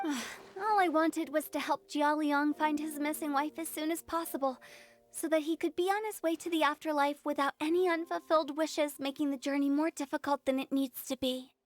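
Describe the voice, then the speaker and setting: A woman's voice: high voice, fairly even intonation, natural voice. A woman voicing a teasing funeral parlor director. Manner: teasing, theatrical, quick-witted. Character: playful, mischievous young woman who directs a funeral parlor. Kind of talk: video game voice acting.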